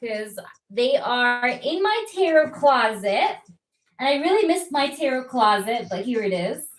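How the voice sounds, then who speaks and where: A woman's voice: on the high side, very animated, unhurried, slight background noise. A woman doing live tarot readings, chatting casually and greeting viewers by name. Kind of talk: casual live-stream chat.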